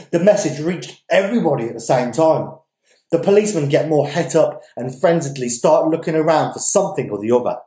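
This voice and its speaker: Echoey, mellow man reading dramatically. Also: medium pitch. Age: young adult. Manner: theatrical, emphatic, with deliberate pauses. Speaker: story reader. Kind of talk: dramatic reading of literary fiction. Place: indoors, small domestic dining room. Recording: echoey room.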